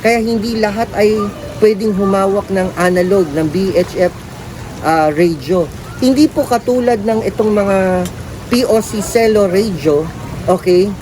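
A woman's voice: mid-range voice, measured pace, background noise. A woman with a Filipino accent politely explaining a product to viewers in an informal way, often posing rhetorical questions. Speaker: presenter.

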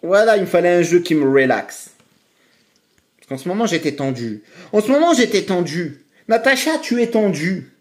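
Chatty excitable man. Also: high-pitched, moderate pace. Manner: excitable, chatty, addresses viewers as friends. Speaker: online poker streamer. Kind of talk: casual live commentary while playing.

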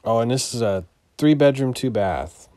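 A man giving a casual, unscripted property walkthrough as a landlord, in a matter-of-fact, halting way that trails off. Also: medium pitch, animated, darkish tone, no room sound. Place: inside an empty mobile home, starting on the porch.

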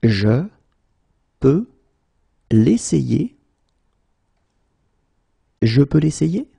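Slow, gravelly French teacher man. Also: medium pitch, very animated, warm tone. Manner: repeats each phrase whole, then word by word. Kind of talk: slow phrase-by-phrase language drill.

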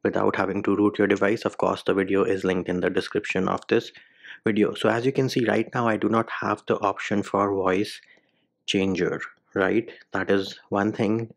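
A man's voice: lowish voice, level tone, normal speed, creaky. A man hosting a phone tutorial voice-over, giving informal step-by-step instructions in short clipped phrases. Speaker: tech tutorial video host.

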